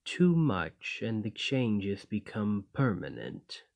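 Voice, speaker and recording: Guy acting as a clay golem for asmr roleplay, really slow and lowish, polite formal wording, locked up and learned. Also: very animated, creaky, darkish tone, full character voice. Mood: cold, menacing. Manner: formal, measured, deliberate phrasing.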